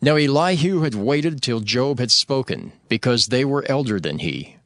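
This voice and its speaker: A man narrating scripture in a measured, solemn scripted reading. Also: medium pitch, lively intonation, no echo, studio quality.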